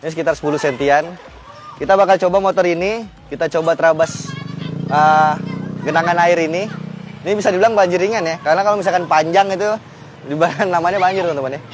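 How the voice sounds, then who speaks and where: A man's voice: on the high side, quick pace, noisy background. Chatty young man testing a scooter outdoors. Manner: chatty, keeps addressing viewers as friends, many fillers. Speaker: automotive news presenter. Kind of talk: casual on-location vehicle test. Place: outdoors on a flooded residential street.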